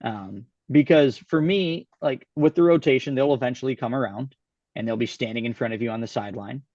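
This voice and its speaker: A man from Michigan, a guest basketball coach on a podcast, explaining his views in a calm, agreeable way. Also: mid-range voice, fairly quick, no echo, dull audio. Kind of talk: casual podcast chat.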